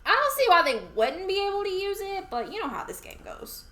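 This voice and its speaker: A woman's voice: very high voice, big pitch swings, fairly quick, pushed and bright. A woman with a Southern US accent, chatting casually to herself as she streams a game. She rambles and is easily amused.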